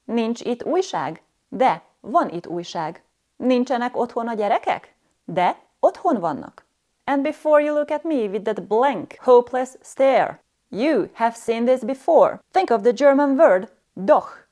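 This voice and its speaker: Strict lady teacher reading a lesson, harsh and demanding, kinda high voice. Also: animated, a little slow, warm tone. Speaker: language teacher. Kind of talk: scripted lesson narration.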